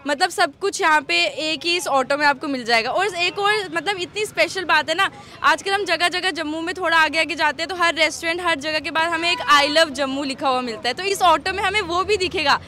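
A woman's voice: extremely high pitch, a little fast, sharp tone. A woman, presenting and interviewing in a chatty, enthusiastic way and mixing in English words. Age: 20s. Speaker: host and interviewer. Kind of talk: presenter piece to camera and street food interview. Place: outdoors by a roadside food cart. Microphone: handheld microphone. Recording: outdoor street noise with traffic.

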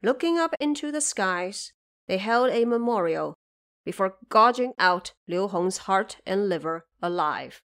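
Female narrator, mid-pitched voice, scripted dramatic storytelling for a classic adventure audio drama. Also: animated, a little slow, a bit bright.

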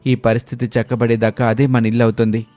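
Man voicing level-headed clever villager, cartoon moral story, mid pitch, faint music. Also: level tone, a little fast, warm tone, dry recording, natural voice. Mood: practical.

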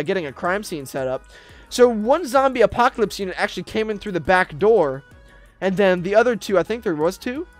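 A young American man giving excitable roleplay gameplay commentary, often repeating phrases urgently, at a desk microphone indoors. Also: high-pitched. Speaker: gaming video host. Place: indoor gaming room.

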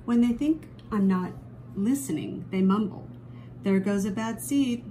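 Woman reading a kids book out loud, slow and dramatic, some noise in the background. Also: medium pitch, low-quality recording. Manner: dramatic, drawn-out emphasis on key phrases. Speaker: storyteller reading a picture book aloud. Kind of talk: expressive children's read-aloud. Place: indoors at a table, book held before camera.

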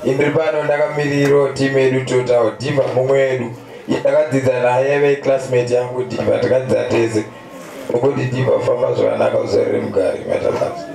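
Fast, gravelly Zimbabwean man. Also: medium pitch, level tone. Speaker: former classmate giving a tribute. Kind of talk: brief funeral tribute. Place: open-sided stadium stand outdoors. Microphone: handheld microphone over PA. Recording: echoey PA with crowd behind.

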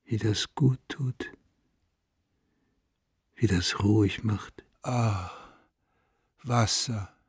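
Deranged madman, acted by a man, very raspy, slow, childlike, dreamy, radio play. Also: on the low side, dry recording, clean studio sound, full character voice. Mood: dreamy, blissful. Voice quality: raspy, husky, creaky. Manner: slow, rambling talk to himself. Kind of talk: German audio drama of a literary story.

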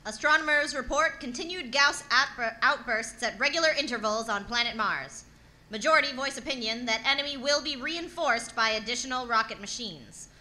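Radio play announcer, female actor: urgent, declamatory bulletins, fairly high, piercingly bright voice. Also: normal speed, rough recording. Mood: grave, urgent. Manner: formal, declamatory. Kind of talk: 1930s radio bulletin acting.

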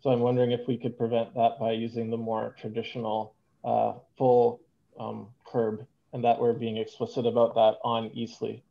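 A man's voice: mid-range voice, completely flat delivery, normal speed, creaky. A man with a Canadian accent, a city councillor asking staff questions over a video call, hesitant with many fillers. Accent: Canadian English. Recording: dull lo-fi video call audio.

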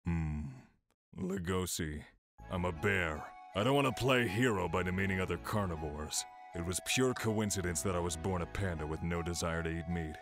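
A man voicing a gruff middle-aged panda doctor, stern, earnest and deadpan, in a manga dub. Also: low-pitched.

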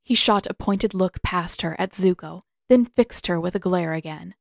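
A woman's voice: lowish voice, normal speed, vocal fry, dry recording. Woman narrating fiction, dry recording. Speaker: fan fiction author and narrator. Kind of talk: scripted audiobook narration.

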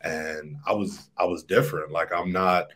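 Deep-voiced low-key man guest. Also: moderate pace, husky. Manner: relaxed, reflective, low-key. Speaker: guest business coach. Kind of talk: casual interview answers. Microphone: webcam video call.

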